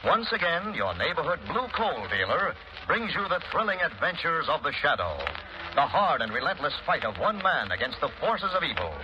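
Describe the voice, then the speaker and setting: A man's voice: a little high, big pitch swings, normal speed, music in the background. A man with an American accent announcing and advertising on 1930s radio in an emphatic, declamatory style. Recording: noisy, dull 1930s radio broadcast recording.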